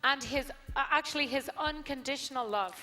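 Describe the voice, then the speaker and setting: High, bright woman host in echoing hall. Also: brisk. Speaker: host, preacher. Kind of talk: stage interview and exhortation. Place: large event hall. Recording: live hall with long reverb.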